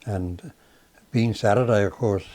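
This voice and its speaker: An older man with a Danish accent, a native Danish speaker, recalling his life in a slow, halting way with many pauses and fillers. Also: fairly low pitch, mellow. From Denmark. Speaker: father being interviewed. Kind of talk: casual reminiscing interview.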